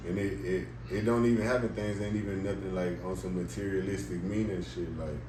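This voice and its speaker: A young man rapper, the guest, talking in a casual, slangy, laid-back way with a deep voice and lots of "you know what I'm saying.". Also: fairly even intonation, fairly quick. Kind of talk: casual interview chat. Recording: echoey room, distant mic, background noise.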